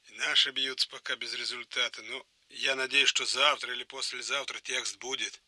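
Composed male Soviet colonel, even-pitched, sharp forceful tone, telephone briefing, radio drama. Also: no room sound. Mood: measured, businesslike. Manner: measured, deliberate, businesslike. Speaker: audio drama actor.

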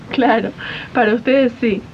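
A woman plays a patronising housewife who employs maids and feigns kindness. Her voice is high and brisk, in radio drama style, on a dull archive recording with faint rumble. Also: fairly even intonation. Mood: brisk, condescending. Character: condescending lady of the house, falsely kind employer. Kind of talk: 1980s amateur radio drama acting.

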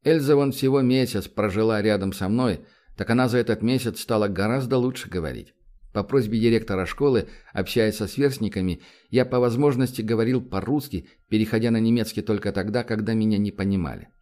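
A man's voice: fairly low pitch, a little fast, darkish tone. A man reading a first-person novel aloud as an audiobook narrator, with a wry, confiding storytelling manner.

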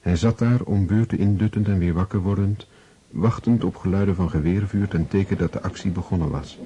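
A man's voice: sits low, fairly quick, warm tone, a little hiss or hum. A man reading scripted literary narration as the narrator of a radio drama. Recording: dull lo-fi old radio recording.

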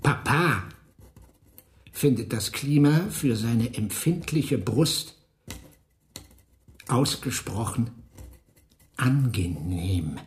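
A domineering forger who rules over fellow prisoners in a radio drama. He lectures at a crawl, stretching and savouring his words. His pitch rises and falls widely as he instructs. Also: animated. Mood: slow dictation. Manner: drawn-out, lecturing, savouring each word. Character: domineering male prisoner boss, master forger coaching handwriting. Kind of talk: radio play acting.

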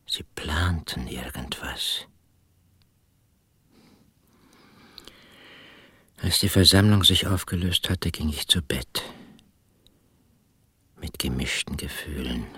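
An actor playing a brooding, wounded village husband in a radio drama, husky and intimate, often slipping into near-whispers. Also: male voice, extremely low pitch, dry recording, full character voice. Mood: brooding, suspicious. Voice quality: husky, hushed delivery. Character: brooding, wounded village husband narrating his own story. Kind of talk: German radio drama, first-person narration and dialogue.

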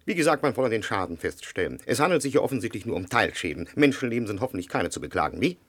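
A man's voice: fairly low pitch, very animated, racing, fairly bright tone, no echo. A man playing an officious little damage inspector in a radio play, formal and pedantic in his manner. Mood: obliging. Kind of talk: German radio play acting.

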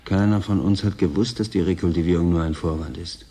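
A weary-hearted male station worker in a science-fiction radio play. His voice is deep, dark and husky, and he speaks in a subdued, doubting, questioning way. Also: fairly even intonation, fairly quick. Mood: resigned, searching. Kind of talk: radio drama acting.

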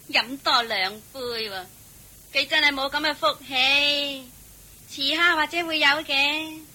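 A woman playing a scornful, scheming young wife in a Cantonese radio drama, sneering and sharp-tongued. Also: sits high, slow pace, pauses often, full character voice, poor audio.

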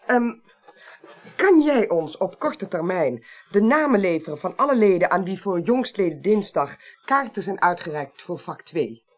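Woman investigator, radio drama: probing, precise, courteous, with very lively intonation. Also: on the low side, moderate pace. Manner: inquisitive, thoughtful, precise. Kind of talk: 1980s Dutch radio drama acting.

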